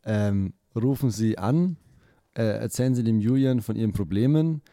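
A man's voice: lowish voice, lively intonation, moderate pace, dark tone, dry recording, clean studio sound. A man co-hosting a radio show, joking, self-mocking and swearing casually. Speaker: radio co-host.

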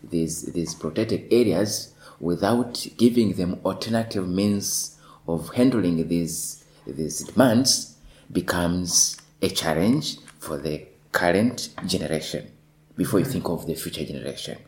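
A lawyer in his thirties answering interview questions deliberately, often repeating words as he searches for them. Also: low voice, expressive, darkish tone. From northwestern Tanzania.

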